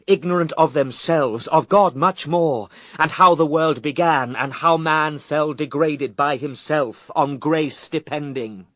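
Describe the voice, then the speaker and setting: A righteous, solemn divine figure in a radio play of epic verse. His deep-set conviction comes through in measured, declamatory phrases at a slow pace. The pitch sits a little low, and the sound is dry. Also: no room sound. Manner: grave, measured, declamatory, assured. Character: solemn, righteous male Son of God rebuking the tempter. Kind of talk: BBC radio drama verse recitation.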